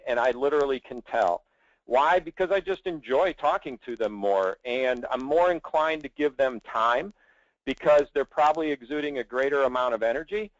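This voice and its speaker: A middle-aged man with a medium-pitched voice talks through career advice like a recruiter leading a webinar. He is emphatic and persuasive but conversational. The sound is dry and somewhat dull, with the top end cut. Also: no echo. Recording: dull, band-limited audio.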